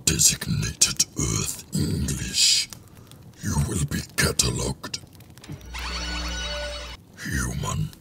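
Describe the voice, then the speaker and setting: A hulking rhino-like alien enforcer, extremely low and heavily gravelled. He barks out slow, clipped official orders in an audio drama, with a forceful edge. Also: slight background noise, full character voice. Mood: cold, commanding. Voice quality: deep, gravelly growl. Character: brusque, officious alien rhino soldier, a Judoon.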